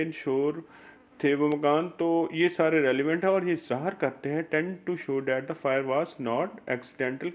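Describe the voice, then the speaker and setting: A man with a Pakistani accent giving an explanatory law lecture, reading and explaining in a halting way, repeating and rephrasing key phrases. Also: on the high side.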